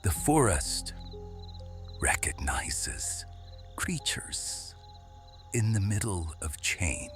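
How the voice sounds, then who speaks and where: A man playing a quiet, watchful serpent shifter guardian in an intimate ASMR roleplay, hushed and deliberate, often slipping into whispers. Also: deep voice, sing-song, music in the background, full character voice. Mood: hushed, brooding.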